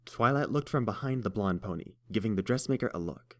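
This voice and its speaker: A man narrating a story in a steady, even storytelling manner. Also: on the low side, brisk. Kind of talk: fan audiobook narration.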